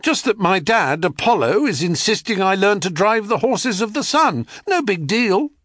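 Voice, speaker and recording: Man voicing a high, sulky boastful boy. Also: very animated, normal speed, fairly bright tone, no room sound, clean studio sound, heavily acted. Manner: high-pitched, boastful, defiant. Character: proud, sulky boy, son of a god.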